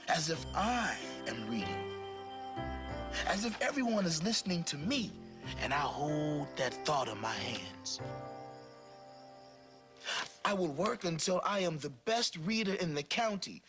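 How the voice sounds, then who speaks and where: A man narrating a storybook aloud in an expressive, dramatic way with varied phrasing. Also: on the high side. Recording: clear music playing behind the voice.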